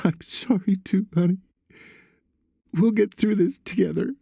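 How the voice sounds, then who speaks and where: Guy doing a worried mom in an audiobook, high weak voice, tender and loving. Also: measured pace, vocal fry, mellow, breathy edge, dry recording, studio quality, heavily acted. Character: loving, anxious, tearful mother comforting her son.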